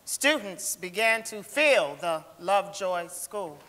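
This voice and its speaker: A woman's voice: mid-range voice, animated, slow, a bit bright. A woman giving a scripted dramatic reading as narrator on a theatre stage. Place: large indoor theatre stage. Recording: echoey theatre hall.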